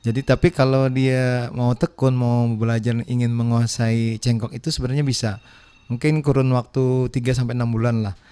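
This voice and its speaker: A man's voice: mid-range voice, level tone, mellow, clear, resonant tone. A man from South Kalimantan, a guest singer on a radio talk show, patiently explaining singing technique. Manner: slow, patient explainer who sings examples. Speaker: guest singer and music expert. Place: radio studio.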